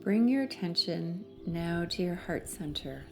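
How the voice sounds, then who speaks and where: Soothing lady doing guided meditation, soft mellow tone, really slow w long pauses. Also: lowish voice, animated, creaky, faint music behind.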